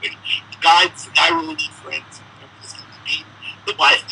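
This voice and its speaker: A man answering interview questions in a chatty, halting way, full of restarts. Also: on the high side, big pitch swings, gravelly, sharp tone, a little hiss or hum, poor audio. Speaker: musician guest. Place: Israel.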